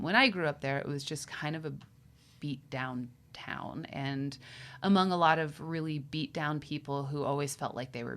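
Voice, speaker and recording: A woman from Western Pennsylvania with a light Western Pennsylvania accent, a musician chatting casually as an interview guest, self-deprecating and quick to laugh. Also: low-pitched, fairly bright tone.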